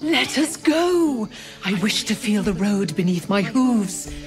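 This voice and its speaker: A woman's voice: mid-range voice, very animated, slow pace. A woman voicing an eager hooved animal longing to roam, in a fantasy video game. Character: eager hooved female beast longing for the road. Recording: music behind.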